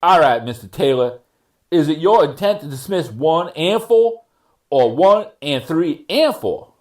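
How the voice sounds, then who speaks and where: A man plays a judge delivering a formal courtroom ruling. His voice sits a little high and moves widely in pitch, while the phrasing stays deliberate and measured, as in a staged reading of a transcript. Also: animated. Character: male judge ruling at a probation revocation hearing. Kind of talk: courtroom readers theater reading.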